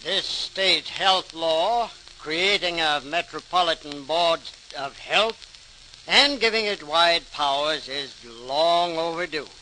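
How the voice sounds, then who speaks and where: A dignified governor in an old radio play. The voice is fairly high and very bright, with formal, declarative delivery. The recording has a light hiss. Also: male voice, takes it slowly, full character voice. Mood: satisfied, dignified. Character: dignified, approving state governor making a declaration. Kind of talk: 1940s radio acting. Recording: hissy, crackly 1940s radio recording.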